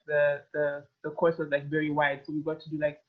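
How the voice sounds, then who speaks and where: A woman's voice: low voice, monotone, brisk. A woman in her twenties from Uganda, chatting as a guest in a casual interview and rambling with lots of "like" fillers. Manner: rambling, heavy use of "like" fillers. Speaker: guest, fashion model. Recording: tinny phone-like call audio.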